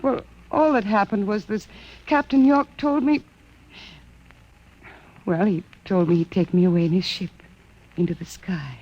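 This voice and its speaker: A woman's voice: mid-range voice, measured pace, long pauses, darkish tone. A woman playing a wistful, hesitant, dreamy Martian wife in a science fiction radio drama. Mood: embarrassed, hesitant. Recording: muffled old radio recording, noticeable hiss.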